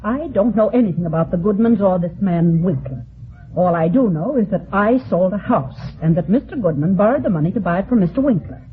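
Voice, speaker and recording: A woman's voice: medium pitch, animated, brisk, close to an everyday voice. An actress playing a defensive, evasive woman who deals in real estate, indignant and easily flustered, in an old-time radio mystery. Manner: indignant, stammering when pressed. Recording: noisy narrow-band vintage radio recording.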